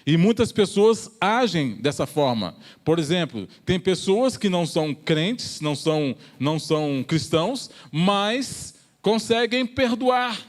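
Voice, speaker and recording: A Brazilian man delivers a church sermon in a distinctly high-pitched male voice. He explains his points step by step, often pausing and repeating a phrase before carrying on. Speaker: preacher.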